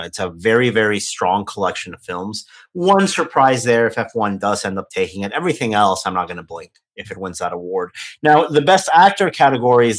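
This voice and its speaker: A man with a Mexican accent co-hosting a film industry podcast, giving measured, opinionated analysis in casual chat. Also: fairly low pitch. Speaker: podcast co-host.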